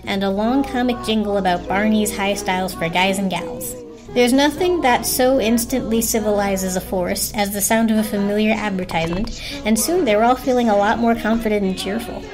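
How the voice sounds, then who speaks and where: Woman narrating a storybook over music. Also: mid-range voice.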